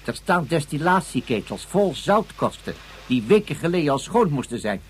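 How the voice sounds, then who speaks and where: A man's voice: a little high, sing-song, moderate pace. A man acting a formal, wordy tribesman with a florid manner in a Dutch science fiction radio drama. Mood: scornful, earnest. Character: formal, wordy tribesman with grumbling scorn for tyrant. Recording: slightly noisy radio play recording.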